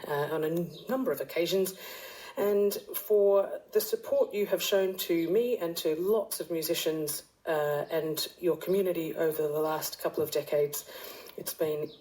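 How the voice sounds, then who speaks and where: A woman's voice: deep voice, normal speed, mellow, low-quality recording. A woman musician giving a personal recorded message of congratulations and good wishes. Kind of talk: recorded well-wishing message.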